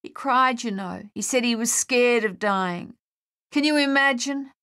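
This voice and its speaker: A woman's voice: a little high, measured pace, fairly bright tone, clear, resonant tone, voice pushed up. A woman voicing a young Australian male soldier in an audiobook, shell-shocked and grieving, speaking in an anguished, insistent ramble. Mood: grieving, desperate. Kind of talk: audiobook character voice.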